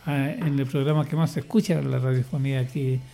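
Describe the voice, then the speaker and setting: A man's voice: medium pitch, steady tone, fast talker, creaky, warm tone. An older man speaking in casual radio chat, hesitant and rambling, with many restarts and fillers. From Chile. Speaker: radio guest, church choir leader.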